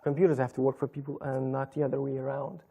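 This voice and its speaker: A young man with a Lithuanian accent, answering interview questions as a guest in a measured, explanatory way. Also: mid-range voice, little pitch movement, warm tone, husky, lo-fi sound. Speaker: computer business guest. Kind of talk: interview talk. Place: TV studio in a hotel.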